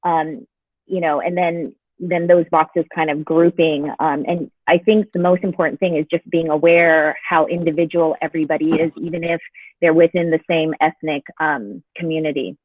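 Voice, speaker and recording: A man from California talks through his ideas on a video call, hesitant and full of fillers. His voice sits a little high and sounds thin and phone-like. The sound is dry, with no room around it. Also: level tone, moderate pace, no room sound. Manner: hesitant, thinking aloud with many fillers. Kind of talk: discussion forum on a call. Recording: tinny phone-like call audio.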